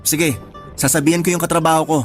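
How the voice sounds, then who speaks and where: A man's voice: a little high, level tone, quick pace, faint music behind, close to an everyday voice. A man with a Filipino accent acting a practical, teasing friend of twenty-seven in colloquial radio drama dialogue. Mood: reassuring. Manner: colloquial, bantering. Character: 27-year-old male friend, teasing, practical, slightly older.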